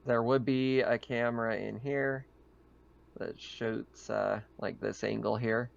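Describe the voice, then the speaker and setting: Guy game mastering, gravelly fry in his voice, halting off-the-cuff descriptions, slightly muffled audio. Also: mid-range voice, fairly even intonation, a little hiss or hum. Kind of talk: casual tabletop game narration.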